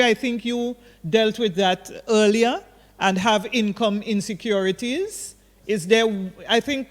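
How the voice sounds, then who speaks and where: A man's voice: sits high, in a large hall. A man moderating an online panel forum over a video call, hesitant as he reads out questions and keeps the speakers to time.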